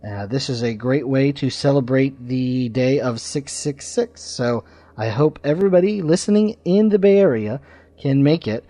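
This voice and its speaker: A man hosting a podcast, giving informal announcements and reviews in a halting way, with pauses mid-sentence. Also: medium pitch, lively intonation, dark tone, no room sound. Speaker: podcast host.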